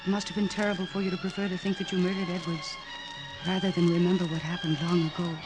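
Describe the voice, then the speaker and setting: Clear music plays behind an actress as a devoted woman doctor in a 1940s radio play. She is earnest and insistent, determined to coax the man she loves. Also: lowish voice, level tone, quick pace, natural voice. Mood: pleading. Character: devoted, determined woman doctor coaxing her troubled lover. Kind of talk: 1940s radio drama acting. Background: dramatic music.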